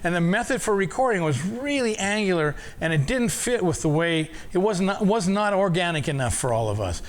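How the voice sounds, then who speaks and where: A man's voice: high voice, lively intonation, a little fast. Older man telling rambling studio stories. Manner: rambling, many restarts and repeated words. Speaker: audio engineer telling stories. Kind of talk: casual storytelling talk.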